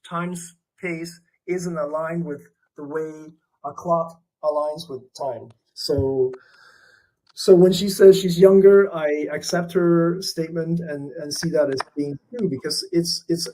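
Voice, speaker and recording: A man's voice: on the high side, a little slow, dark tone, low-quality recording. A man being interviewed about his art, speaking in a thoughtful, reflective way with frequent fillers and restarts. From Canada. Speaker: artist interviewee. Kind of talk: conversational interview.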